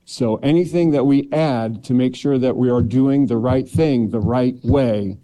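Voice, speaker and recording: Plainspoken male candidate at a public forum, deep dark tone, sincere with a dry joke now and then. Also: a little slow, rough recording. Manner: earnest and plainspoken, with light joking. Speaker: county clerk candidate.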